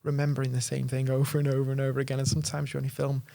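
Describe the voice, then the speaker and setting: The chatty young guy on a podcast sofa, rattling along with a gravelly fry, self-deprecating, recorded close and dry. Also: medium pitch, monotone, very fast, warm tone, dry recording. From North Wales and Chester, England. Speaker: podcast guest, actor. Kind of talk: casual chat.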